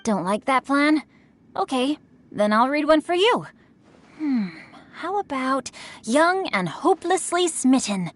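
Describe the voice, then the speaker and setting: A woman's voice: high voice, very animated, slow, full character voice. A woman playing a refined, bookish, playful teenage boy in a video game, speaking in eloquent, formal sentences. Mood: playful, teasing. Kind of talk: video game character dialogue. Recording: game music behind the voice.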